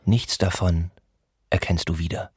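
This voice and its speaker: Radio play: brooding rural narrator, low-pitched, measured and poetic, music underneath. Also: male voice, little pitch movement, a little mellow. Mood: brooding, wry. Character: brooding village man narrating his rural home.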